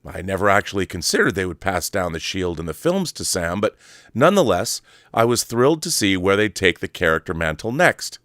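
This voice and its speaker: A man's voice: on the low side, fairly bright tone, dry recording, clean studio sound. A man hosting a solo podcast, talking casually and reading aloud with a theatrical, wordy showman's manner. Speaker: podcast host.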